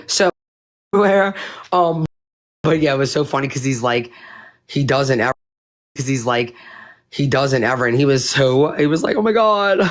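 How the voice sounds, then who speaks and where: Woman streamer, lively swooping pitch, rambling profane chat, slightly hoarse voice. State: losing her voice. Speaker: livestream host. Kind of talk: casual livestream chat.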